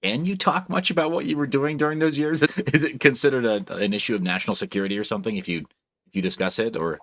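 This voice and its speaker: A man hosting a podcast, giving a brisk, upbeat intro and asking questions. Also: medium pitch, steady tone, fairly bright tone. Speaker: show host.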